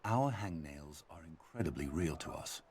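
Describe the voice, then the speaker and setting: A man's voice: rumbling low, lively intonation, normal speed, noisy background. A man reading scripted quotations for game audio logs.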